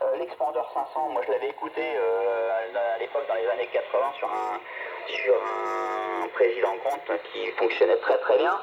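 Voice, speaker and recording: Crackly radio voice, very gravelly man. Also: fairly low pitch, normal speed. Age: middle-aged. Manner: hesitant, repeats words. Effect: two-way radio transmission. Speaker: amateur radio operator. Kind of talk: casual radio chat. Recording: noisy, narrow-band two-way radio signal.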